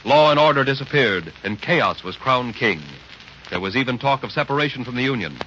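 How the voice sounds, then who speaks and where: A man's voice: mid-range voice, lively intonation, brisk, bright, forceful tone. A man narrating in a grand, declamatory 1930s dramatic radio style. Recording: muffled, hissy 1930s radio recording.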